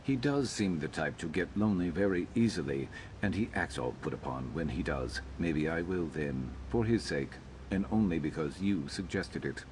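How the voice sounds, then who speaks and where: Gruff reptilian adventurer, bottomless bass, measured, dry, guarded, fantasy game dialogue, slight background rumble. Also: male voice, expressive, a little mellow. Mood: reflective, reluctant. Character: gruff Argonian lizard man, freed slave, dry guarded companion. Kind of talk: video game character dialogue.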